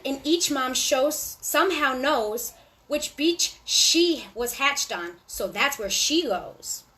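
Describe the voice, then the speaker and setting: A woman with a high voice reads a rhyming children's book aloud. Her delivery is animated and sing-song, pitched directly at little listeners as a storyteller would.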